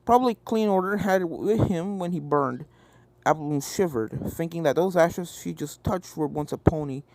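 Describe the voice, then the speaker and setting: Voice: mid-range voice, normal speed, darkish tone, low-quality recording. A reader giving scripted narration of a fan fiction story. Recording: game music behind the voice.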